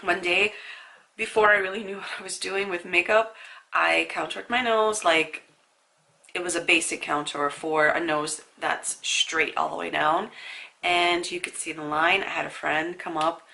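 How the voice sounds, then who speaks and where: A woman's voice: on the low side, fairly even intonation, a little slow, creaky, bright, forceful tone. A young woman with a stuffy nose from a sinus infection, chatting casually through a makeup tutorial with asides to the viewer. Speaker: beauty vlogger.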